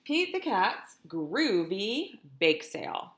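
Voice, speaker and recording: A middle-aged woman, a teacher, reading a picture book aloud to young children in an expressive, deliberate way, speaking to them directly. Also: mid-range voice, roomy sound. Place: indoors in a room at home.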